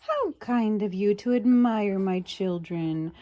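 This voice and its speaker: A woman voicing a talking pig in a children's storybook, playful and expressive. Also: medium pitch, slow pace, no echo, close to an everyday voice. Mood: polite. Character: talking pig, contented, polite, sometimes bossy. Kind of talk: children's storybook read-aloud.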